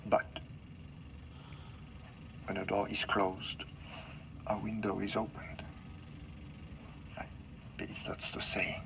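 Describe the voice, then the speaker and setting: An actor playing a vain, resentful male lab director in a video game, with a French accent and a pompous, theatrical, halting delivery. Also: lowish voice, monotone, gravelly, noisy background. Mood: subdued, halting. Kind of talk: dramatic video game acting. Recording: music behind voice.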